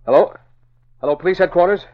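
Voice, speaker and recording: A man's voice: high-pitched, fast, natural voice. A man playing an anxious, scheming stockbroker in an old radio drama, nervous and blustering, with an American accent. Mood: alarmed. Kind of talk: 1930s-40s radio drama acting. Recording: muffled old radio recording.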